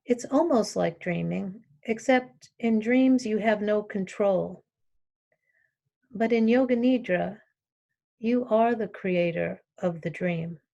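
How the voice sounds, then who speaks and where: A woman teaching yoga nidra, guiding a relaxation meditation in calm, short instructional phrases with long pauses between them. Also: mid-range voice, gravelly, muffled recording.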